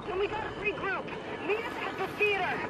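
A woman playing a brisk, resolute soldier in a military action game, reporting in clipped, urgent phrases. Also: extremely high pitch. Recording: very noisy battle behind radio messages.

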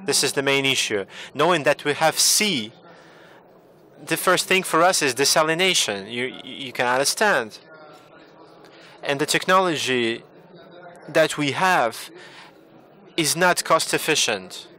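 A man from Tunisia speaks up from the audience, earnest and a little repetitive as he makes his point. His voice is bright and forward, pitched in the middle range. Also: expressive, measured pace, pauses often. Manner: earnest, repeats himself. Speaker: audience member. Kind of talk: question from the floor.

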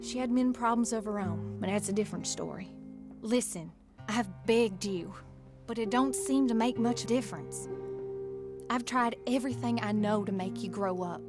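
A woman's voice: mid-range voice, normal speed, close to an everyday voice. An actress playing a hurt, earnest frontier wife and mother with a rural American accent, reading a letter in a game drama. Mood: sorrowful, resolved. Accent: American, rural Western. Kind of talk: letter read aloud in video game drama. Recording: loud music under the voice. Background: instrumental music.

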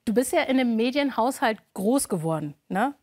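A woman's voice: a little high, animated, fairly quick, darkish tone. A middle-aged woman hosting a casual TV studio interview on a lapel mic. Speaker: TV talk show host.

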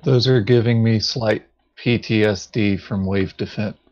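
A man's voice: lowish voice, steady tone, unhurried, darkish tone, lo-fi sound. A man playing a board game, chatting casually in a dry, laconic way. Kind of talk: casual gaming chat.

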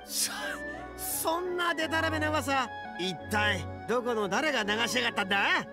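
A man voicing a cocky, hot-headed young oni ogre in a video game, with a gravelly voice and rough, boastful speech. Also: very high-pitched, lively intonation, moderate pace. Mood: indignant. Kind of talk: Japanese video game voice acting. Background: music.

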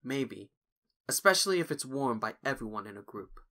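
A man playing a bold, perceptive young male investigator in an animated fan audio drama, probing and theatrical. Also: medium pitch, moderate pace, heavy background noise, close to an everyday voice. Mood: inquisitive. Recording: loud music under the voice.

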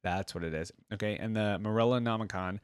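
A man's voice: fairly low pitch, a little fast, clean tone, dry recording, studio quality. A man hosting a reaction video, reading aloud and breaking off for casual, exclaiming asides.

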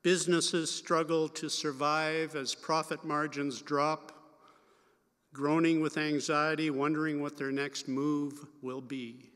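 An older man with a Canadian accent reading scripture and preaching a sermon from a pulpit in a wood-paneled church, in a slow, measured way. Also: on the high side, a bit bright. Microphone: pulpit microphone at lectern. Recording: long church reverb.

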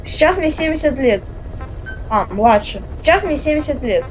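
High-voiced woman, insistent and teasing. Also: animated, normal speed, over music, rough recording. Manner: teasing, insistent, repeats herself. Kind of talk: casual chat while gaming.

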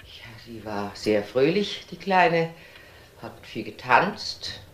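A composed older company boss in a radio crime drama. The voice is low and slow, with a measured, slightly hesitant manner. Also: female voice, very animated, a little hiss or hum, exaggerated voice. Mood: reflective.

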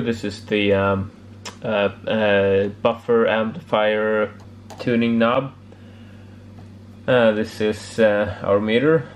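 A man giving a casual, unscripted hobby demonstration, haltingly searching for words, in a home workshop. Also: fairly low pitch. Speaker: amateur radio hobbyist presenting homebrew project. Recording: clear background noise, room ambience.

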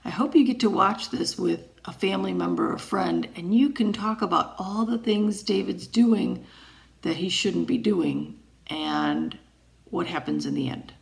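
Woman, 40s, children's read-aloud teacher. Unhurried, simple phrasing, noticeable vocal fry. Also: on the low side. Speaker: teacher reading stories to children. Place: indoors, wood-panelled room.

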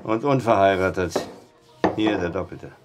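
An actor playing a chatty, joking small-town bartender with a casual, wisecracking manner in a German audio drama. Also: male voice, deep voice, moderate pace, background noise, close to an everyday voice.

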